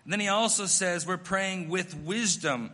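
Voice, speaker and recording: A middle-aged American missionary pastor preaching a sermon, telling stories in an earnest, self-deprecating way. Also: male voice, sits high, a little slow, pushed and bright, big-room sound. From United States.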